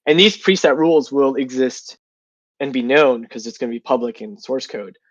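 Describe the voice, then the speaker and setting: A man's voice: mid-range voice, normal speed, no room sound, lo-fi sound. A man with a sinus infection, sounding nasal and congested, answering questions in a casual online interview and thinking aloud with many fillers. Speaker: guest developer.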